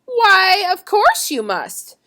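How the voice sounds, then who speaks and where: A woman's voice: extremely high pitch, wildly swooping pitch, slow, sharp tone, voice pushed up, heavily acted. A woman voicing a sly male storybook fox who is falsely kind, with a solicitous, coaxing manner. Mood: falsely concerned.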